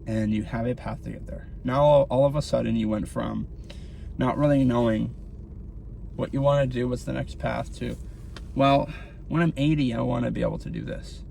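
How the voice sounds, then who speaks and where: A man's voice: mid-range voice, steady tone, normal speed, gravelly, dark tone, slight background noise. A man around thirty giving casual motivational advice to the camera while driving, talking in a rambling way. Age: 30s. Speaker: vlogger. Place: in a car.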